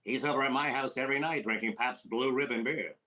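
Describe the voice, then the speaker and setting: An actor playing a wisecracking, fast-talking middle-aged comedian in an old-time radio comedy recreation. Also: male voice, mid-range voice, sharp tone, close to an everyday voice. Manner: quick wisecracks, sly teasing delivery.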